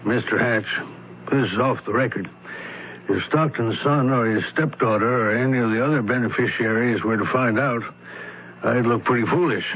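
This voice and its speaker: An actor playing a weary, fretful estate lawyer, dry and emphatic, in a radio mystery drama. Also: male voice, medium pitch, normal speed, breathy edge. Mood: fretful, confiding. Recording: noisy, tinny old radio broadcast.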